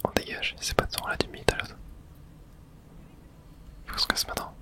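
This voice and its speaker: Female ASMR streamer, whispered game commentary with casual slang and spoken reactions to play. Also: fairly quick.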